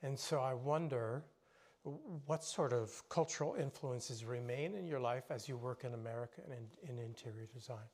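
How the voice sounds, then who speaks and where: A man moderating a design panel, thanking the audience and asking his guests questions in a relaxed conversational way. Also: mid-range voice, normal speed. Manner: warm conversational, asks questions. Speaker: moderator and author. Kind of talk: panel discussion.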